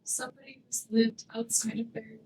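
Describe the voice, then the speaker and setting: A young ministry intern from Canada shares her story in church. Her voice sits a little high, and she speaks softly and with care. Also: little pitch movement, moderate pace, poor audio. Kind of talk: personal testimony in church.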